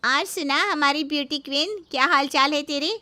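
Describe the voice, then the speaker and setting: Woman acting a high, breezy, goading girlfriend. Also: normal speed, sharp tone. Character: chatty, teasing female friend on the phone, egging on. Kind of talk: moral story dialogue.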